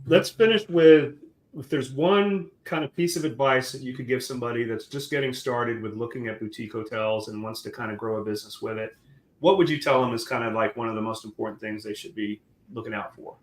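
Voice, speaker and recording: A man's voice: medium pitch, fairly quick. Encouraging man hosting in an echoey room. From Caribbean, born in Guyana. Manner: encouraging, short affirmations, rambling questions. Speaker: host. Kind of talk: casual interview over a live stream.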